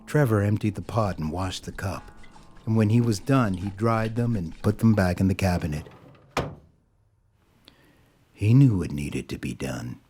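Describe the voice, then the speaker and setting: A man's voice: low-pitched, expressive, measured pace, pauses often, dark tone, a little hiss or hum. A man narrating a scripted audio drama as a dramatic storyteller. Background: music.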